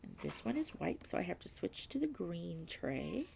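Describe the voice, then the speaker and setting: A woman vlogging at home while diamond painting, reading aloud and chatting in a self-deprecating way, with a slightly stuffy nose and frequent soft whispers. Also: on the low side, big pitch swings, normal speed, gravelly, a little hiss or hum. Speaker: crafting vlogger.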